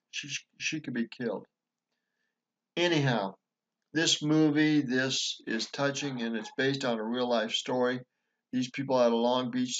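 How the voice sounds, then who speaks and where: A man's voice: medium pitch, fairly bright tone, muffled recording. A man from Montana giving casual, earnest commentary on a film, rambling with many fillers and restarts. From Montana, USA. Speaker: vlogger reviewing a film.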